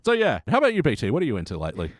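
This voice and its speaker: A man's voice: medium pitch, wildly swooping pitch, rapid-fire, dry recording, clean studio sound. A man hosting a podcast in casual chat, talking informally and enthusiastically with plenty of swearing. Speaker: podcast host.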